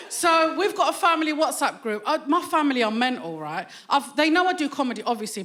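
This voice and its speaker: Brash woman doing stand-up. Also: on the high side. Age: 40s. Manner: brash, cheeky, quick street slang. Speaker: stand-up comedian. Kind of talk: stand-up set. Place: theatre stage with live audience. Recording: loud audience laughter and noise.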